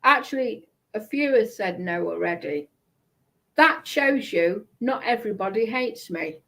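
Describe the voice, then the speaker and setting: A woman's voice: mid-range voice, lively intonation, measured pace, a bit bright. A woman live streamer delivering a confrontational monologue in an accusatory, emphatic, repetitive manner.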